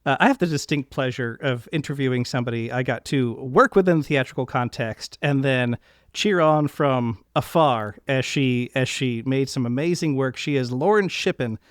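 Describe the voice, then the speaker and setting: A man's voice: medium pitch, no echo, clean studio sound. A man hosting an interview podcast, speaking in an earnest, effusive way. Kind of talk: podcast interview introduction and chat.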